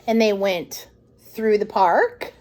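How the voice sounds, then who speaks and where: A woman hosting storytime, reading a picture book aloud to young children in an expressive way with conversational asides. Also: mid-range voice, takes it slowly.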